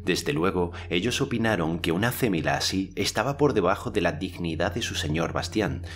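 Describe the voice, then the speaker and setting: A man narrating an audiobook in a measured, expressive way. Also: sits low, a little hiss or hum. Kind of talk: audiobook narration with character voices.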